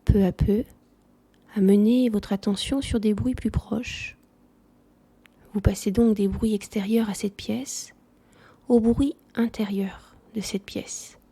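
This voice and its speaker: A woman leading a guided yoga nidra relaxation, speaking softly in a calm, soothing manner. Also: mid-range voice, takes it slowly, husky.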